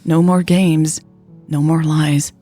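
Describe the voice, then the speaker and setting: A woman's voice: low voice, little pitch movement, slow, mellow, soft background music. A woman reader voicing an angry, wounded woman leader who speaks in a clipped, accusing way in a romantic audio story. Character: angry, cold, wounded woman leader confronting her lover. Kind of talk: audio fiction dialogue reading.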